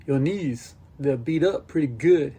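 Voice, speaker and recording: A man's voice: a little high, expressive, measured pace, dark tone, husky, heavily acted. A man voicing a blunt, caring but exasperated boy with a colloquial, sardonic delivery in an audiobook. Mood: concerned. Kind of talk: audiobook character dialogue.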